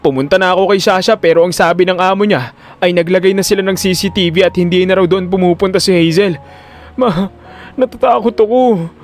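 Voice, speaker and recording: A man's voice: sits high, fairly even intonation, brisk, pitched above the performer's own voice, close to an everyday voice. Guy playing nervous scheming son, raised urgent voice, drama with music. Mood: anxious. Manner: anxious, urgent. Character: frightened, scheming son reporting to his mother. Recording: music under the voice.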